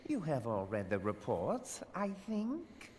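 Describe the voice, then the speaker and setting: A man's voice: medium pitch, wildly swooping pitch, slow pace, darkish tone. A man playing a smug, scheming, wealthy merchant lord in a video game, speaking in a haughty, mocking, oratorical manner. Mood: haughty, accusing. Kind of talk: theatrical video game voice acting. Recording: reverberant hall, light background noise.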